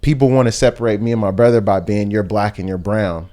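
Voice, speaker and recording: A man's voice: lowish voice, quick pace, warm tone. A young man from the United States, a podcast guest talking through his thoughts in a reflective, rambling way, full of fillers and restarts. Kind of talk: casual conversation.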